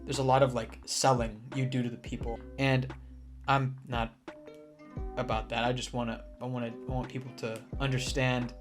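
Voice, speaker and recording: A man's voice: medium pitch, flat delivery, moderate pace. A young man in casual talk to camera, giving earnest advice about teaching jobs in Japan, with restarts and fillers. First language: English. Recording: soft guitar music behind.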